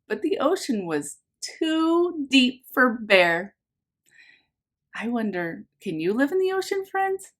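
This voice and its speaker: A woman teacher reading a picture book aloud to young children in a sing-song way, putting simple questions to them as she goes. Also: mid-range voice. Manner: animated, sing-song, simple phrasing addressed to small children.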